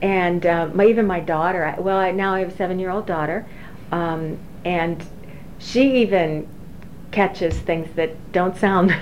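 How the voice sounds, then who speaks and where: A woman in her forties, an engineering professor, recalling her career slowly with many fillers and restarts. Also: medium pitch. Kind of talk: oral history interview. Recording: dull lo-fi recording with faint hiss.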